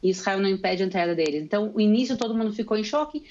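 A woman's voice: medium pitch, lively intonation, very fast, darkish tone. Young gaúcha woman explaining things on a call, earnest and insistent, kinda muffled line. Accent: gaúcho Brazilian Portuguese. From Rio Grande do Sul, Brazil. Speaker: guest commentator living in Israel. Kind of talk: call-in interview commentary.

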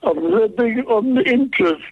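A man in his seventies calling in to a radio show, speaking in slow, halting phrases with pauses. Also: very high-pitched, a little hiss or hum. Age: 70s. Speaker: caller. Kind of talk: phone-in call. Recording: tinny phone line.

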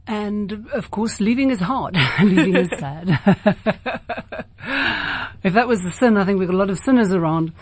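A woman's voice: medium pitch, a little slow, a little mellow, dry recording, soft background music. A woman with a South African accent hosting a late-night radio interview in a conversational, rambling way, often circling back to rephrase.

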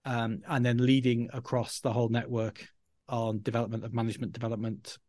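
A man's voice: mid-range voice, level tone, a little fast, darkish tone, dry recording. A middle-aged man from Yorkshire with a Yorkshire accent, chatting as a podcast guest in a rambling, self-deprecating way. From Yorkshire, England. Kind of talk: casual interview talk.

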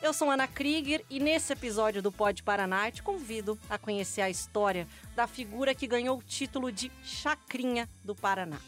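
Woman podcast host, scripted narration, clear presenter, faint music underneath. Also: fairly high pitch, normal speed, hardly any pauses, a bit bright.

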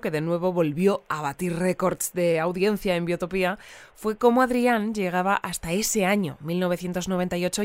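A woman's voice: fairly low pitch, smooth, no room sound, close to an everyday voice. An actress playing a composed female news presenter, polished and orderly in scripted broadcast style. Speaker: host.

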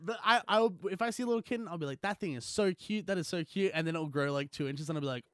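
A young man with a fairly high voice chats casually as a podcast co-host. His talk is slangy and jokey, full of exaggeration and the word "like". The recording is close and dry. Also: quick pace, a bit bright, clean tone, dry recording.

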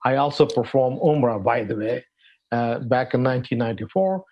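An older man from Pakistan telling his life story as an interview guest, halting, with many fillers and non-native phrasing. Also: mid-range voice. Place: at home, in a room. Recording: dull lo-fi sound.

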